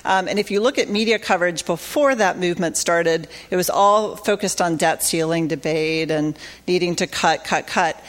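A woman's voice: on the low side, normal speed, few pauses. A woman organizer giving a conversational conference talk about faith-based advocacy, with many fillers and restarts.

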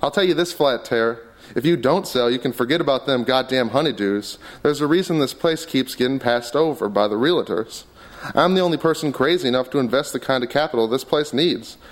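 A man's voice: medium pitch, brisk, pitched above the performer's own voice, close to an everyday voice, poor audio. A man reading aloud and voicing a blunt, crude middle-aged cowboy investor in a story. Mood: pushy.

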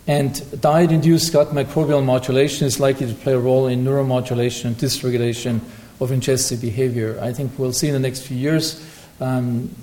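Mellow-voiced man giving a science lecture. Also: mid-range voice. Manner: hesitant, frequent ums and restarts. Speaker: lecturing researcher. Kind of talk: academic lecture and Q&A answers.

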